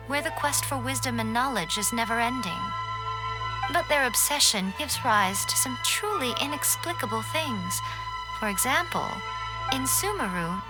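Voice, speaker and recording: Woman doing a poised fox spirit lady from an anime game, highish voice, formal n a bit wry, music playing behind. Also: lightly acted, poor audio. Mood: courteous. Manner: measured, formal, gently wry. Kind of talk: scripted anime video game dialogue.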